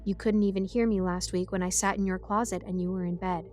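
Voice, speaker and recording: A woman's voice: medium pitch, fairly even intonation, fast talker, darkish tone, soft background music, voice pushed down, close to an everyday voice. A woman voicing a small male toy guardian, grave and earnest, in an audio story.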